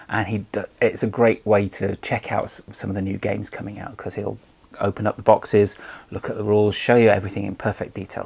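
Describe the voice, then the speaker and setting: A man's voice: on the low side, gravelly, darkish tone, breathy edge. A man hosting a vlog, chatting casually with plenty of fillers and friendly plugs for his guest. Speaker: channel host.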